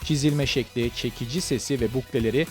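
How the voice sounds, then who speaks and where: A man's voice: medium pitch, fairly quick, over loud music. A man reading scripted narration as the narrator of a countdown video.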